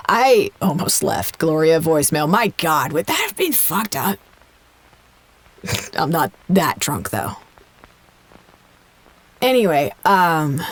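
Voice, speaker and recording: A stressed woman in charge of a facility in an audio drama. Her pitch is very animated and swings widely as she speaks in an exasperated, naturalistic way. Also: exaggerated voice. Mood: rueful, confiding. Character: stressed, exasperated woman serving as acting site director.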